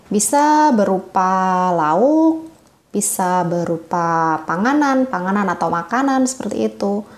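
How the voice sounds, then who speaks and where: A woman tutor working through exam questions, reading the answer options slowly and explaining them patiently to her viewers. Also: medium pitch, darkish tone, reverberant.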